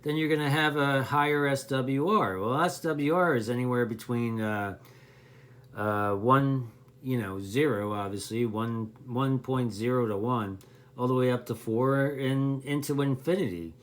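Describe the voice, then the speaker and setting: A man with a mid-pitched voice talks as a hobbyist explaining things to newcomers. His delivery is unscripted and casual, with a folksy, rambling flow. He corrects himself along the way. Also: a little slow. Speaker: CB radio hobbyist explaining gear to beginners.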